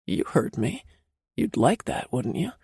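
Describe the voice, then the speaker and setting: A woman narrator voicing a confident, playful adult man, the husband, in a low teasing drawl for an audiobook. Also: wildly swooping pitch, measured pace, gravelly, dark tone, slightly rough, no room sound, studio quality, exaggerated voice. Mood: teasing, commanding. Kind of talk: audiobook character voice.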